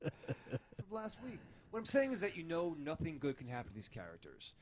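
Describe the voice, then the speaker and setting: A man's voice: fairly high pitch, wildly swooping pitch, brisk. A man co-hosting a casual panel podcast, talking about a film in a rambling, crude and profane way. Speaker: podcast co-host reviewing a film.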